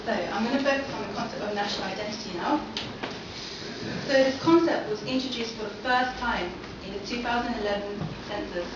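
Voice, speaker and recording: A woman's voice: medium pitch, fairly quick. Young woman presenting in an echoey hall. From London. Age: young adult. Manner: poses many questions to the audience. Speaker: seminar presenter. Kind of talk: academic presentation. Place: lecture hall. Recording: echoey hall from far off, dull and noisy.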